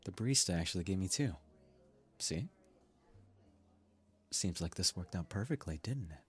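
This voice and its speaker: A man acting as a sweet, eager young guy on a meet-cute date in an intimate ASMR audio drama. His voice is very low and husky, and he rambles in a gentle, personable way. Soft music plays along with him. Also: animated, moderate pace, full character voice. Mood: easygoing, pleased. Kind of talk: ASMR roleplay, intimate scripted romance acting.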